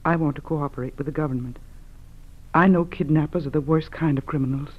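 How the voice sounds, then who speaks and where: Dull old recording, anxious wife. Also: female voice, sits low, steady tone, brisk. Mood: pleading, worried. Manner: hesitant, pleading. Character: anxious, pleading wife of a kidnapped man. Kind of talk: 1940s radio acting.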